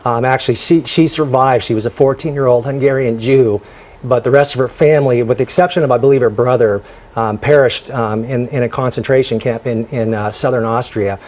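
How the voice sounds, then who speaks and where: A man who is a guest on a TV interview, speaking haltingly with many fillers and restarts. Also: mid-range voice, steady tone. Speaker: guest, son of honored general. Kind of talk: TV studio interview. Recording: dull broadcast audio.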